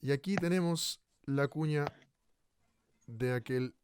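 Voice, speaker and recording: A man's voice: mid-range voice, takes it slowly, darkish tone, a little hiss or hum, natural voice. A man playing a probing radio presenter who poses rhetorical questions in a scripted style. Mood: inquisitive. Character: probing male radio presenter looking back at the past. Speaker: radio host.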